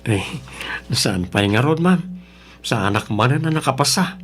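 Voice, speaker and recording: A man's voice: medium pitch, expressive, a little slow, a bit bright. A man with an Ilocano Filipino accent acting a meek, patient young son-in-law in a radio drama, hesitant, polite and apologetic. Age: young adult.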